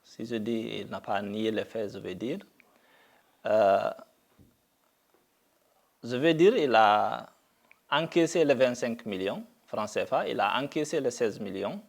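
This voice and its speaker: A middle-aged man from Guinea making a deliberate public statement, speaking haltingly with frequent pauses and fillers. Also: fairly low pitch, gravelly. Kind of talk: slow, deliberate video statement.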